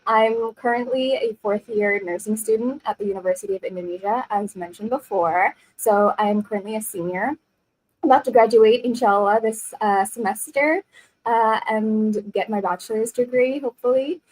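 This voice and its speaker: Casting: young woman guest, slightly high, relaxed and rambling with fillers. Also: normal speed, rough recording. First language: English. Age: 20s. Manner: chatty, rambling, with many fillers. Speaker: guest speaker, nursing student. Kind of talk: casual interview chat.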